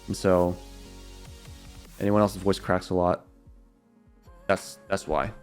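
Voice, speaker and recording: A young man with a slightly raspy voice, casually answering viewer questions on a livestream in a rambling, filler-heavy way. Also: deep voice, flat delivery, takes it slowly, faint music behind. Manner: rambling, loose, many fillers and repeats.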